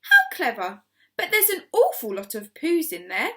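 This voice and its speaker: A woman's voice: high voice, huge pitch swings, moderate pace, a bit bright, voice pushed up, heavily acted. A woman voicing a bewildered, trusting older lady with a wondering, questioning manner, in a storybook reading. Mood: bewildered, curious.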